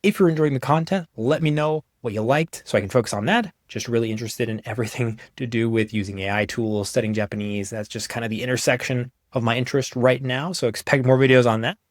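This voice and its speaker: A young man giving a casual, conversational walkthrough of software he made, in an informal way. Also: mid-range voice, fast talker, few pauses, dry recording, clean studio sound. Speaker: app developer presenting his own software.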